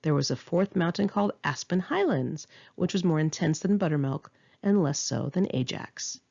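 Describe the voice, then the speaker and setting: Bright-voiced woman, lively memoir reading. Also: low voice, normal speed, creaky, highs cut off. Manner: candid, informal, wry. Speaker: author reading own memoir aloud. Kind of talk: conversational memoir narration, read in one take.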